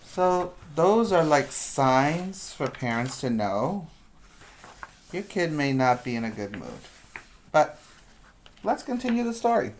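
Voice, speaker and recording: Preschool teacher for a read-aloud: slow pace, emphatic storytelling, explanatory asides to small children. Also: medium pitch, sing-song, a little hiss or hum.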